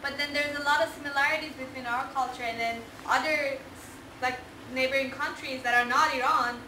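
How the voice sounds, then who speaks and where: A woman in the audience hesitantly works through her question, pausing to search for words. Her voice is high. She sounds distant in a reverberant hall with background noise. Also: steady tone, pushed and bright. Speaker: audience member asking a question. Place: large echoey lecture hall. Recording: echoey hall, far-off, noisy.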